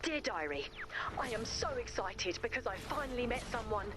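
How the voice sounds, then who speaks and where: A woman playing an eccentric, sweet and nosy video game character, theatrical and expressive. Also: on the high side, normal speed, over music, natural voice. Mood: plaintive, earnest. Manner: theatrical, expressive, reads aloud dramatically.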